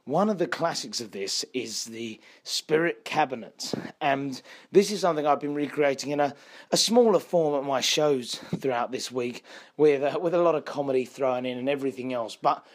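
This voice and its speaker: A man, a croaky and husky voice, hosting a solo podcast and telling history in a chatty, explanatory way. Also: medium pitch, normal speed. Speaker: podcast host and escape artist.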